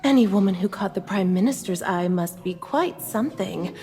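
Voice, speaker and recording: A woman's voice: mid-range voice, moderate pace, warm tone, lightly acted. A woman playing a cruel, mocking noblewoman who taunts in a sneering tone, in a game cutscene. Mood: taunting. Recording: reverberant game audio with music.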